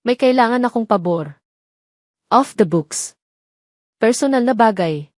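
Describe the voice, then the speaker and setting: A woman voicing a serious, protective male police officer with a firm, measured manner in an audio drama. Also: on the high side, lively intonation, darkish tone, dry recording, clean studio sound.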